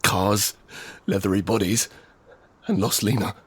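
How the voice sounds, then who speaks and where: A man playing a frightened, desperate father in an apocalyptic audio drama, speaking with halting, intense urgency. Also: on the low side, steady tone, a little hiss or hum, close to an everyday voice. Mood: tense, grim. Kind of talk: first-person scripted audio drama narration with acted dialogue.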